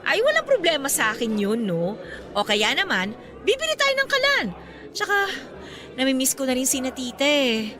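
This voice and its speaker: A woman's voice: sits high, huge pitch swings, moderate pace, sharp tone, exaggerated voice. A woman with a Filipino accent playing a devoted, affectionate girlfriend in a radio drama. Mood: casual, sweet. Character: devoted, sweet girlfriend in her thirties, newly graduated. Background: background music.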